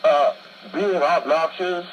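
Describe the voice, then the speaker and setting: A man's voice: on the high side, slow. An older man, a radio show guest, telling a rambling story in long-winded, grandiloquent wording. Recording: noisy radio broadcast.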